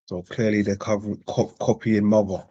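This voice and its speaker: A man hosting a live-streamed panel chat. He talks casually, repeating phrases and giving slangy shout-outs to viewers. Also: lowish voice, little pitch movement, moderate pace, breathy edge. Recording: dull, lo-fi stream audio.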